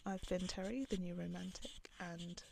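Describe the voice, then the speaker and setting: Young woman vlogger, soft calming voice, lowish with some fry, loose filler-heavy chat. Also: moderate pace, background noise. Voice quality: calm, soft voice. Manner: rambling, filler-heavy with many "like"s. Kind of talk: casual talk to camera. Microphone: camera on the speaker.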